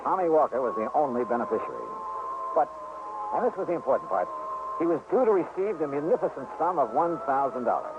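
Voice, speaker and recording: An actor with an American accent playing a shrewd, probing insurance investigator in a radio detective drama, with a clipped, wry delivery. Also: male voice, mid-range voice, sing-song, fast. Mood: wry narrating. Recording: noisy, muffled old radio recording.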